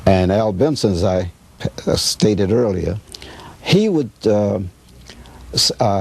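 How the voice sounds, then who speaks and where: An older American man in his seventies or beyond, reminiscing in an interview in a halting way, searching for details. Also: fairly low pitch, vocal fry, warm tone, husky. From Chicago, United States. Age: 70s or older. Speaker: radio veteran being interviewed.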